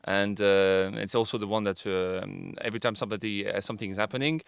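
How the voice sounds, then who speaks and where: A man with a French accent chatting about films, halting and searching for words in English. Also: lowish voice, fairly even intonation, gravelly, clear, resonant tone, no room sound. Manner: halting, searches for words, non-native grammar. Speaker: podcast co-host. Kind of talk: casual film discussion.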